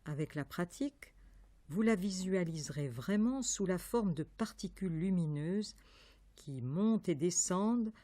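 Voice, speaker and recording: A woman leading a guided yoga meditation, giving calm, measured instructions with pauses between phrases. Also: on the low side, no echo. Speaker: yoga nidra meditation teacher.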